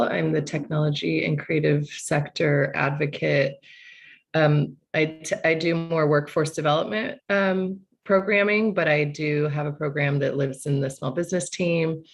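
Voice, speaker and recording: A woman's voice: low-pitched, vocal fry. A woman working as a city technology sector advocate, introducing herself casually on a panel with some hesitation.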